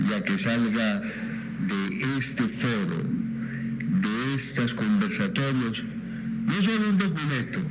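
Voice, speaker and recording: A man with a lowish voice gives a solemn, formal address as an archbishop. It comes from a recorded video message with heavy noise under it. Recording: noisy video message playback.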